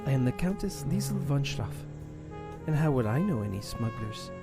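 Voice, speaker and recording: A man's voice: mid-range voice, sing-song, moderate pace, vocal fry, loud music behind the voice. Man voicing a worldly, flirtatious countess. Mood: coy. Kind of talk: amateur audio drama acting.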